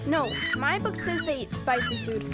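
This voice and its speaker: A man's voice: very high voice, animated, moderate pace, loud music behind the voice. A young male actor playing an eager, stubborn boy mythology buff in a family audio adventure, earnest and insistent. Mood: know-it-all. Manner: earnest, insistent know-it-all.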